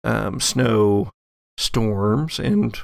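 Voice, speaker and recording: A man's voice: lowish voice, extremely slow, vocal fry, dry recording, studio quality. A man working as game master, narrating an actual-play horror tabletop game, setting scenes descriptively in broken phrases.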